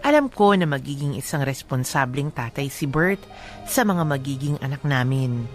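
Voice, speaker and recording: Filipino female letter narrator for radio drama: low, measured, wistful, soft music bed. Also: animated. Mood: wistful, heartfelt. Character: married woman recounting her own sad love story. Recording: faint music behind the voice.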